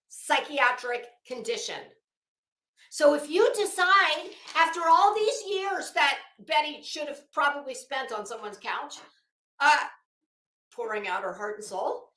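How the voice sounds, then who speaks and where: A woman with a bright, forceful voice delivers opinionated, off-the-cuff commentary. She speaks slowly and emphatically, doubling back on words with open exasperation and sarcasm. The sound is echoey, as if recorded in a bare room. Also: high voice. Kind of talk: opinionated legal commentary, unscripted. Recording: roomy, echoing room sound.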